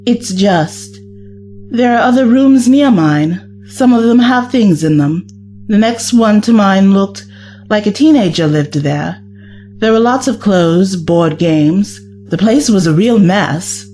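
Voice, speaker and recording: Woman as young time traveller, kind, reassuring, medium pitch, audio drama, background music. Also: expressive, slow, mellow. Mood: curious. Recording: music under the voice.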